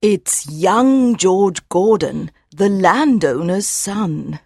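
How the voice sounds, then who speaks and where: A man voicing an old, wise mother mare who gently and earnestly advises her colt in a storybook reading. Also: high-pitched, sing-song, takes it slowly, slightly rough, no room sound, clean studio sound, pitched above the performer's own voice, heavily acted. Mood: sad. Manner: soft, earnest and admonishing.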